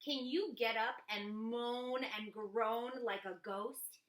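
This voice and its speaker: A woman teacher reading a picture book aloud to children in an expressive, encouraging way. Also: a little high, slow. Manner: expressive, encouraging, asks the listener questions. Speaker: elementary school teacher. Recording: echoey room.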